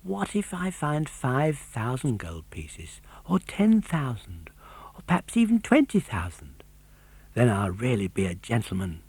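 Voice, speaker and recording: A man's voice: medium pitch, wildly swooping pitch, a little slow, darkish tone, breathy edge, no echo, full character voice. A man voicing a naive, eager little wooden puppet boy in a children's storybook, wide-eyed, impulsive and earnest. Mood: greedy excitement.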